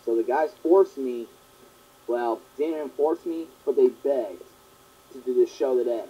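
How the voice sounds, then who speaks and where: A young man hosting his own fan question show, talking in a rambling, earnest way that repeats itself, his voice hoarse and partly gone from a long cough. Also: mid-range voice, measured pace, a little hiss or hum. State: sore throat, partly lost voice from a long cough. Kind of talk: casual monologue answering fan questions. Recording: dull lo-fi home recording.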